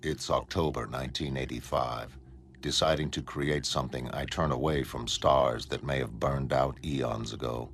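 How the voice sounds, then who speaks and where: A man playing a detached, melancholy godlike superhuman who narrates a motion comic in a measured, solemn, flat manner. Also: rumbling low, vocal fry, slight background noise, heavily acted. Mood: detached, reflective. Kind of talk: first-person dramatic narration of a motion comic. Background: music.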